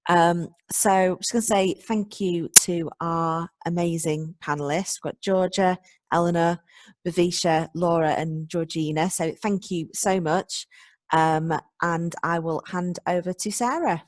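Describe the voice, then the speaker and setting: An upbeat, chatty woman hosts an online panel and thanks the speakers. Her voice sits lowish, and the sound is dry with no room echo. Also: fairly even intonation, a little slow. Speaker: event host. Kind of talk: video call panel hosting. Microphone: video call.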